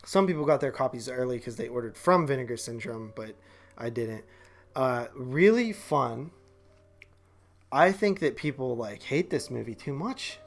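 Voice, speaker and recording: A man's voice: medium pitch, expressive, normal speed, creaky, soft background music. A man co-hosting a movie podcast in casual, slangy chat, often dropping in "like" and "man". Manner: slangy, informal, heavy use of "like" and "man".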